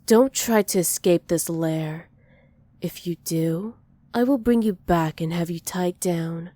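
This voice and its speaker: A woman playing a dominant, possessive villain who dotes on a captive, softly menacing and teasing in an ASMR roleplay. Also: on the low side, slow, dry recording. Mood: commanding, possessive. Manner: soft, teasing menace with breathy whispered endearments.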